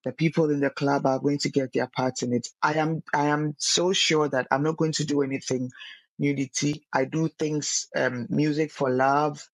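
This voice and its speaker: A woman's voice: sits low, steady tone, normal speed, no room sound, poor audio. A young woman, a singer guesting on a casual podcast, earnest and often repeating words as she searches for them.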